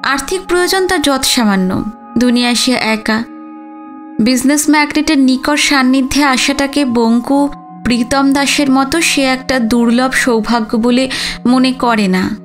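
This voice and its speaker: A woman's voice: sits high, unhurried. A woman reading a literary story as a dramatic audiobook narration. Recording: music under the voice. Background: background music.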